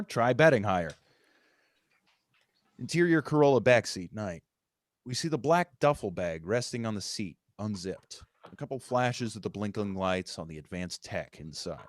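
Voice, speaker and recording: A man's voice: lowish voice, big pitch swings, measured pace, no echo, clean studio sound. A man, the writer, reading out screenplay stage directions as narrator at a live table read.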